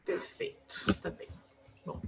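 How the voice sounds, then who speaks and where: A woman's voice: slow pace, crowd noise. A woman moderating an online panel over a video call. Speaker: event host and moderator. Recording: muffled online call with noise.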